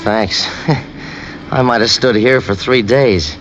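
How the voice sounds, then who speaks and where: An actor playing a shifty, falsely friendly stranger in a 1950s radio drama, affable on top and evasive underneath. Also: male voice, medium pitch, slow pace, over music, lightly acted. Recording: muffled vintage radio, slight noise.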